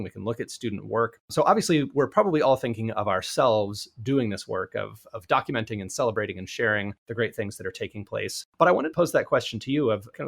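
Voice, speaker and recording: A male podcast host with a gravelly, fry-edged voice at a middle pitch. He talks in a thoughtful, conversational way and poses questions to his guest. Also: a bit bright. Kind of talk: podcast interview.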